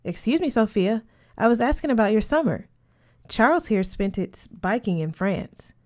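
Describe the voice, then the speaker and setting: A woman's voice: fairly high pitch, expressive, normal speed, a little mellow, lightly acted. A woman voicing a polite, probing man who teaches at a school, formal in manner. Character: polite, probing male headmaster at dinner table.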